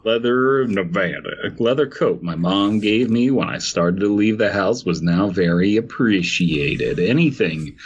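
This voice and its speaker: Low-voiced man joking crudely on a podcast. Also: lively intonation, measured pace, few pauses, slightly rough, dull audio. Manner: crude joking, laughs mid-sentence while reading aloud. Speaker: podcast co-host reading a story. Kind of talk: casual podcast banter and story reading.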